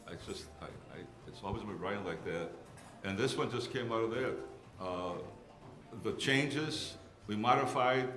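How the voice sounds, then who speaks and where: A man's voice: lowish voice, a bit bright. An older man from Greece, a guest musician, chatting on a live music show in a rambling, restarting way. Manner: rambling, repeats words and restarts. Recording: roomy venue with music behind.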